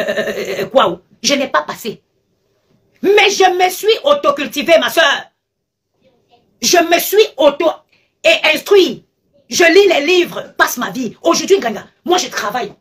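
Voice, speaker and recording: Husky woman lecturing on a live stream. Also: high voice. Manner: emphatic, lecturing, repeats herself. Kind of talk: animated live-stream rant.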